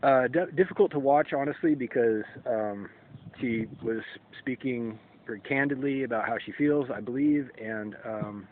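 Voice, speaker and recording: A man in his forties talking to camera in a solo vlog, halting and hesitant, often restarting and clearing his throat. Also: mid-range voice. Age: 40s. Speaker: YouTube creator. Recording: tinny phone-like sound with faint ambient noise.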